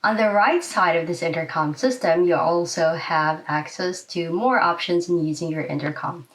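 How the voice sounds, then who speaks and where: A young woman presents a casual tutorial, talking directly to the camera. Her voice sits a little lower than most women's. She explains things step by step, picked up from slightly away from the mic in a plain indoor room. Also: moderate pace, a bit bright, recorded from a distance, slight background noise, low-quality recording. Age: young adult. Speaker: video host and presenter. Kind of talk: informal instructional explainer to camera.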